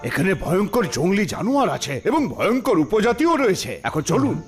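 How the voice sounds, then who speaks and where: A man's voice: sits high, very animated, a little fast. A man voicing a polite but urgent rescuer in a cartoon. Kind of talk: cartoon voice acting. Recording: loud music over the voice.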